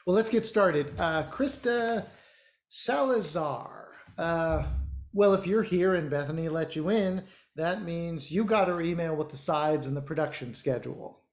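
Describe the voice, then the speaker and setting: A self-important male theater director, played by a man, running an online audition. He is officious and halting, full of small hesitations. The voice rings in an echoey room over a video call. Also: on the high side. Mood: officious, welcoming. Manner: halting, officious, hems and haws. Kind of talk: naturalistic dialogue in an online one-act play.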